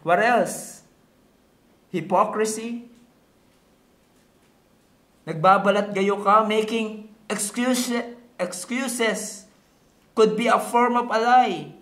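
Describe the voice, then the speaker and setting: A man's voice: high-pitched, very slow, pauses often, fairly bright tone. A man with a Filipino accent preaching a sermon in a didactic way, using rhetorical questions and repeating key words for emphasis. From Philippines. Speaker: pastor. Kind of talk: sermon mixing Tagalog and English. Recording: echoey room.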